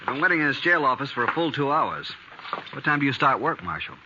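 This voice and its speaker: An actor playing a cold, haughty rich moneylender in a 1950s radio western, with clipped formal speech. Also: male voice, medium pitch, big pitch swings, fast talker, close to an everyday voice. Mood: impatient. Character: cold, hard-hearted rich male moneylender. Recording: muffled, hissy 1950s radio recording.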